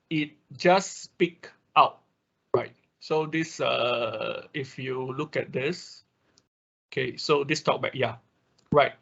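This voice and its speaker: A man giving an informal technical lesson online, explaining haltingly, repeating himself and tagging phrases with quick check-ins. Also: on the high side, a bit bright, breathy edge. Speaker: workshop instructor. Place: online class over a computer. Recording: dull lo-fi online call audio.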